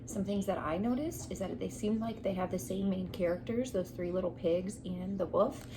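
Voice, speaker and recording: A woman's voice: medium pitch, moderate pace. A young adult woman teacher explaining and asking children questions in a read-aloud lesson, recorded at home. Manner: explanatory, prompting. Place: living room at home, on a couch. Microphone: camera a short distance away. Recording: clearly noisy home room sound.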